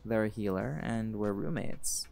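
A man's voice: lowish voice, measured pace, creaky, darkish tone. An actor voicing a laid-back, teasing male jaguar shifter in an audio roleplay, blunt and flirtatious, with music playing behind.

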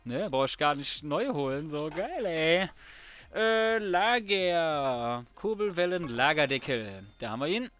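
Male gaming host, bright higher-pitched voice, casual slangy muttering, music behind. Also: big pitch swings, a little slow. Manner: colloquial, mutters to himself, mild swearing. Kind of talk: casual let's play commentary.

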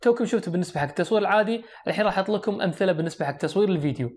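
A man's voice: high voice, racing, darkish tone, a little hiss or hum. A young man with a Kuwaiti Arabic accent, reviewing a phone and explaining its features straight to viewers, seated indoors close to the camera. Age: young adult. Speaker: tech reviewer. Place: small indoor room, seated at a desk.

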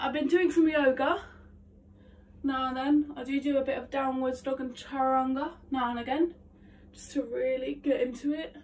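A young woman vlogging her vocal warm-up at home, chatty and full of ums, her voice nasal and congested from hay fever. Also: high voice. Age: young adult. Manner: chatty, self-talking, lots of ums. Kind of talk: casual vocal warm-up vlog. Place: small attic bedroom at home. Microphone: phone camera across the room. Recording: faint room noise, distant from phone.

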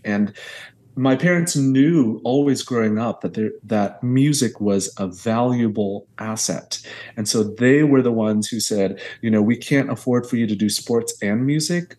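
A man's voice: on the low side, darkish tone. Man, 30s, from Texas, earnest, reflective, long restarted sentences, video call, earbuds. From Houston area, Texas. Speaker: guest choral conductor. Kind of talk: podcast interview over video call. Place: small bedroom at home.

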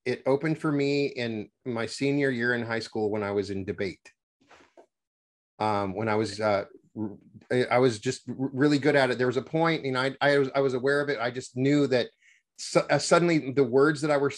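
A middle-aged man hosting an interview over a video call from his home office, wearing a headset and talking in a halting way with many restarts. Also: mid-range voice. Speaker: podcast host. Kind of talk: conversational interview.

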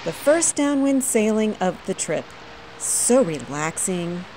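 A middle-aged woman narrating a sailing vlog in a relaxed, lively voice-over. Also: mid-range voice, takes it slowly, background noise. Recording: voice-over with loud music behind.

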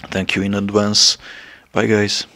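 Young Hungarian-accented man, deep flat voice, halting speech full of pauses. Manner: hesitant, many filler pauses. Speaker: channel creator. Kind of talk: casual channel announcement.

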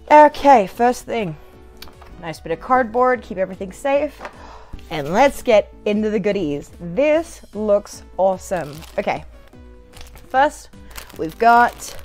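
A young woman chatting casually to camera during an unboxing, with a playful, bubbly manner and sing-song asides, recorded in a living room. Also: mid-range voice. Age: young adult. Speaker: product reviewer.

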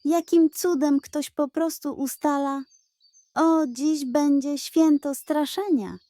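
A woman's voice: high-pitched, steady tone, takes it slowly, warm tone, no echo, studio quality, pitched above the performer's own voice. A woman voicing a grumpy, greedy little male pug dog who scoffs and boasts, in a children's audio story. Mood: scoffing. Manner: scoffing, boastful, food-obsessed.

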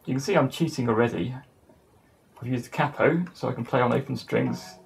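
A man's voice: mid-range voice, brisk, vocal fry, a little hiss or hum, rough recording. A middle-aged man giving a casual instructional talk to camera as a musician in a small home studio. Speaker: musician and songwriter presenting.

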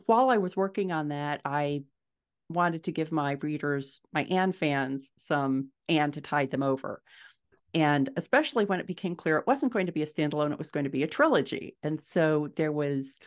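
A woman's voice: sits low, moderate pace. A middle-aged woman explaining things conversationally as a guest author in an interview. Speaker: podcast guest, fiction author. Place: indoors at home, small furnished room. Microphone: video call.

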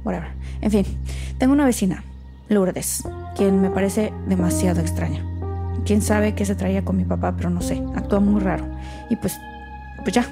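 A woman's voice: mid-range voice, normal speed, music in the background, natural voice. A woman playing a guarded, irritable young woman with a Mexican accent, speaking casually and brusquely in an audio drama. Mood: wry, casual. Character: guarded, irritable young woman clearing out her late father's house. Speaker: lead actor in an audio drama. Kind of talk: naturalistic audio fiction acting.

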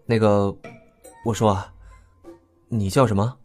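An actor playing a bemused young prince in an audio drama, speaking in a hesitant, awkward way. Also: male voice, fairly low pitch, slow pace, a little mellow, soft background music.

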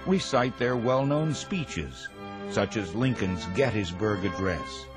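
Male documentary narrator, deep and animated, music playing loud behind. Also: slow. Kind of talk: scripted educational narration.

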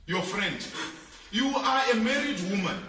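Guy doing a preachy pastor begging everyone to calm down, high bright voice, echoey and far away. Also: measured pace, a little hiss or hum, full character voice. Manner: pleading, repeats words, preaches. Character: pleading, preachy pastor calming a fight. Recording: echoey room, far from mic.

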